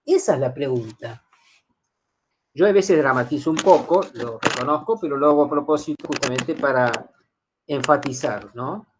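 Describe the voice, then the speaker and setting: A man's voice: mid-range voice, moderate pace, a little hiss or hum. A middle-aged man giving a university lecture, explaining step by step, repeating key phrases and checking in with his students.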